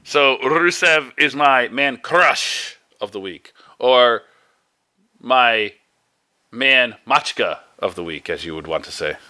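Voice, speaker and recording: Podcast co-host, man, bright forceful tone, rambling and animated. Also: mid-range voice, takes it slowly. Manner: rambling, animated, restarts often. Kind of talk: casual wrestling chat.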